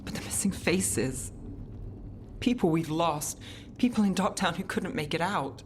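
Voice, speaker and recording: A woman's voice: fairly low pitch, a little slow, noisy background, close to an everyday voice. An actress playing a weary, determined woman mage in a video game, with a restrained, dry delivery. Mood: somber, resolute. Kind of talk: dramatic video game cutscene acting.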